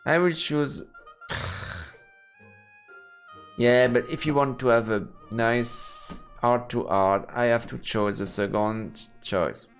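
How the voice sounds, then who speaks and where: A man's voice: mid-range voice, slow pace, long pauses. A man streaming a let's play, reading game text aloud and dropping in playful murmured interjections. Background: game music.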